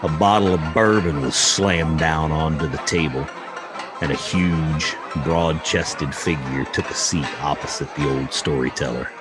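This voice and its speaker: A man reading a story as dramatic, measured audiobook narration. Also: extremely low pitch. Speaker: story reader. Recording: music and crowd murmur underneath.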